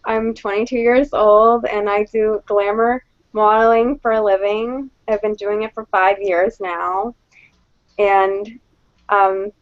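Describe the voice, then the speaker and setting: A woman's voice: mid-range voice, little pitch movement, takes it slowly, dry recording, lo-fi sound. A woman in her twenties being interviewed on a podcast, shy and hesitant, prone to giggling. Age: 20s. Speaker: guest, show host. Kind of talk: casual podcast chat.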